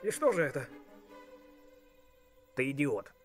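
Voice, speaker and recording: A man's voice: mid-range voice, sing-song, slow pace. A man playing a goofy, self-important cartoon turkey in a blunt, comic manner. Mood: urgent comic. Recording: music behind the voice.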